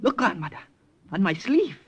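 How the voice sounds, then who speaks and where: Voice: mid-range voice, big pitch swings, normal speed, slightly rough. An actor playing a proud, suspicious thirteen-year-old boy in an old radio drama, earnest and probing. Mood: proud, eager. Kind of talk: 1940s-50s radio drama acting. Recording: old radio recording with music.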